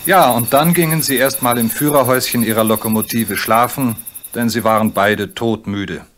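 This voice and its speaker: A man's voice: medium pitch, moderate pace, fairly bright tone. A man narrating a children's radio play in German as a scripted storyteller. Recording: faintly noisy, cricket chirps behind.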